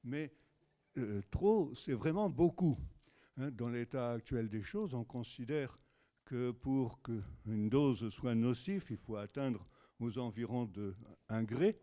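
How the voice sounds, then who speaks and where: A man moderating a conference, introducing the next speaker in hesitant, halting speech. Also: medium pitch, lively intonation, mellow. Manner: hesitant, many fillers and restarts. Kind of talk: introducing a lecture.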